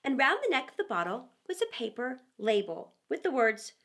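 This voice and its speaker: A middle-aged woman reading a children's story aloud in a theatrical, expressive way, with dramatic pauses and emphasis. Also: sits high.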